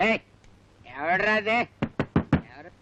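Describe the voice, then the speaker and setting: Very high, excitable comic man. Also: slow, muffled recording, very noisy. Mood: tipsy, confused. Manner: exclamatory, animated. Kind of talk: Telugu film comedy acting. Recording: film soundtrack with music behind.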